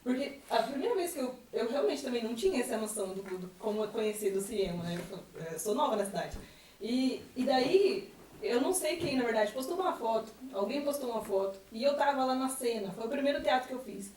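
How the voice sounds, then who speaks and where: A woman's voice: a little high, animated, fairly quick, a little mellow, a little hiss or hum. A woman, a newer theatre group member, chatting casually on a podcast. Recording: echoey room, distant mic.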